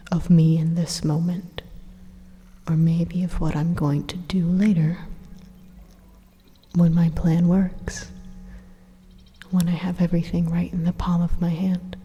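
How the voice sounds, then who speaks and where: An evil supercomputer , acted by a woman, murmurs inside your head in a dark, breathy whisper. It is slow and deliberate, calmly threatening while it coaxes, in ASMR roleplay style. The whisper hangs in a long reverb. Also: fairly low pitch. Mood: calm, condescending.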